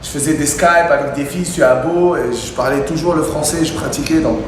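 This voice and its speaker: A man's voice: a little high, fairly quick. A young man with a slight Arabic accent, bantering crudely and playfully on a casual livestream. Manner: joking, playful, loose and crude. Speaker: co-host of a live stream. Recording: very echoey room, faint ambient noise.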